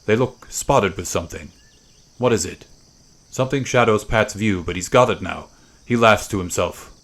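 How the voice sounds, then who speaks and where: Deep-voiced man narrating a dramatic story. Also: moderate pace. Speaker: narrator of a literary podcast.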